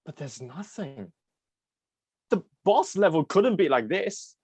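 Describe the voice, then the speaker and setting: A woman's voice: on the low side, big pitch swings, slow pace, mellow, husky, no echo, exaggerated voice. A woman playing a protective, loyal young man with a guarded, terse manner in a play reading. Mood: uneasy. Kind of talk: reader's theatre acting.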